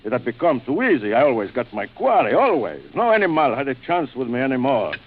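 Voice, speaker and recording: Suave, cruel general, swooping old-radio delivery. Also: male voice, mid-range voice, big pitch swings. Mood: urbane, world-weary. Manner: urbane, theatrical, savoring pauses. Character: suave, cruel aristocratic older general who hunts men. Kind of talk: 1940s radio thriller acting. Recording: muffled, noisy vintage radio recording.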